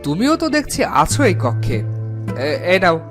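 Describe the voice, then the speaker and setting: Commanding, animated fairy-tale king. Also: high-pitched, unhurried, over music, heavily acted. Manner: formal, commanding. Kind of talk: Bengali fairy-tale cartoon acting.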